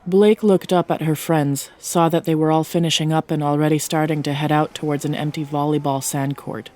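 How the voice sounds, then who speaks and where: A woman's voice: deep voice, steady tone, a little fast, mellow. A woman giving a scripted narration of a fiction story as its narrator, close to the microphone.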